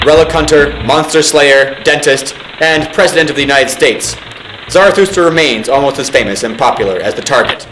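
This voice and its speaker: A man acts as an officious narrator of a secret government film. His voice sits fairly high for a man, with grave, pompous, deliberate delivery. It rings with echo over clear music. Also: lightly acted. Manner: formal, pompous, deliberate enunciation. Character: solemn male military briefing narrator, grave and officious.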